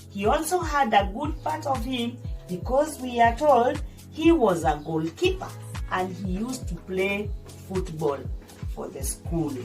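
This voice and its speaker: A woman reading a children's story aloud as a teacher, explaining and repeating points in a didactic way for young listeners. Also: medium pitch. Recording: distant microphone, music behind the voice. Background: music.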